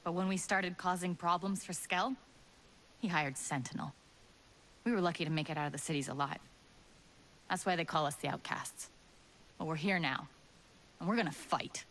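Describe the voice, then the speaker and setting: Rebel leader, lowish voice, sardonic, resolute, game cutscene. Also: female voice, normal speed, long pauses, a bit bright, slight background noise, poor audio. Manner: wry, resolute. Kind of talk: video game cutscene acting.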